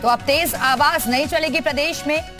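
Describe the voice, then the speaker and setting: A woman anchors live TV news in a high voice. She is emphatic and restates headlines, with faint music behind her. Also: normal speed.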